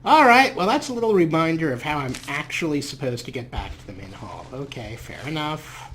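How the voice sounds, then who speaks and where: A man's voice: a little high, big pitch swings, fairly quick, fairly bright tone, slight background noise. A man giving casual let's play commentary in a chatty way, with playfully formal turns of phrase. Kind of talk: casual let's play commentary with some read-out game text.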